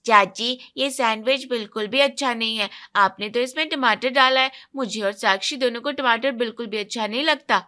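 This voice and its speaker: Woman as a high, fussy little boy. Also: moderate pace, hardly any pauses, bright, forceful tone. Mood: complaining. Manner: complaining. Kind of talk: acted dialogue in a Hindi audio story.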